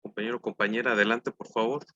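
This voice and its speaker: Man, moderator, bright voice, dry close sound, courteous formal. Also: fairly low pitch, steady tone, fast, no room sound, highs cut off. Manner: courteous, formal, passes the floor. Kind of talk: moderating a panel.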